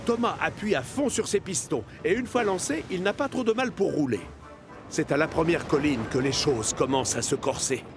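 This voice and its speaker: A man's voice: a little high, animated, moderate pace, over music. A man narrating a children's cartoon in French, telling the story expressively.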